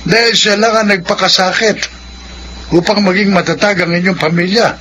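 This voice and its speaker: A man's voice: high voice, steady tone, normal speed, sharp tone, a little hiss or hum. An actor playing a stern, principled older Filipino father, admonishing with emphatic moral weight in a radio drama. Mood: reproachful. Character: stern, principled elderly father scolding his grown son.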